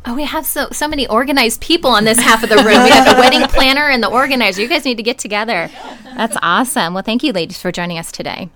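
A woman's voice: fairly high pitch, fast talker, over a noisy crowd. A woman hosting a podcast, moving between upbeat scripted announcements and casual chat in a studio. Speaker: podcast host.

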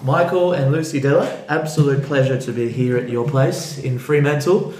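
Male podcast host, Australian accent, conversational interviewing style, mid-pitched voice in a roomy space. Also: normal speed, darkish tone, a little hiss or hum. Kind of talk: conversational interview with spoken introduction. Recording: roomy, echoey sound.

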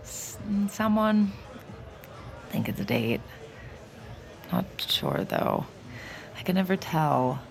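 A nervy, guarded woman in an audio drama. Her low voice crackles with fry and moves slowly, hesitant and wry, as if doubting herself. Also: very animated, soft background music, exaggerated voice. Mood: nervous. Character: guarded, nervy adult woman, secret mind reader, wry. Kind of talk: audio drama acting, intimate narration and dialogue.

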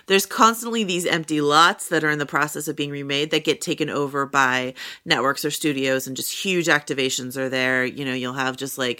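A woman co-hosting a podcast, chatting in a lively way with lots of fillers over a remote line. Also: deep voice, a little fast. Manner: chatty, animated, many fillers. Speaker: podcast co-host, senior writer. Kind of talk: casual chat. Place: on location at a festival.

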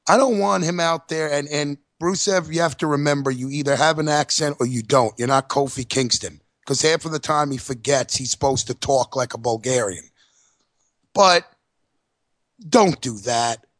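A man's voice: a little high, moderate pace, a bit bright, no echo, clean studio sound. A man co-hosting a casual wrestling podcast, blunt and emphatic, swearing freely. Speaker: podcast co-host.

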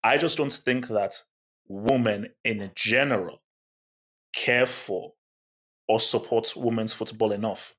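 A man's voice: lowish voice, takes it slowly, fairly bright tone. A man co-hosting a casual sports chat show, asking pointed questions and arguing his view emphatically. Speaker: podcast co-host.

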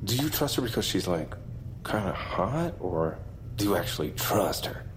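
An actor playing a goofy, easily distracted young amateur bounty hunter in a comedic audio drama, flippant and rambling. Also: male voice, low voice, very animated, moderate pace, a little hiss or hum. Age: young adult.

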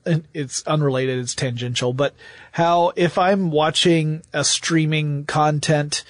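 A man's voice: on the high side, fairly even intonation, unhurried, no echo, clean studio sound. A man co-hosting a podcast, casually discussing and guiding the conversation. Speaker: podcast co-host.

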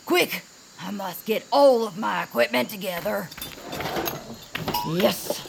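Creaky, grandiose little inventor creature. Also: female voice, medium pitch, lively intonation, takes it slowly, background noise, full character voice. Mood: panicked. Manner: theatrical, self-important, formal phrasing. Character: eccentric, excitable small inventor creature, grandiose and dramatic. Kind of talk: cartoonish audio fiction acting.

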